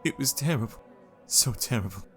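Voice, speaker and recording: An actor playing a weary, shaken young Victorian man in an audio drama, who speaks in a halting, frail way. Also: mid-range voice, lively intonation, soft background music, close to an everyday voice.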